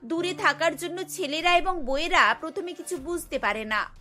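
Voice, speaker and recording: A woman's voice: extremely high pitch, normal speed, pushed and bright, soft background music. A woman narrating a scripted cartoon story as its storyteller.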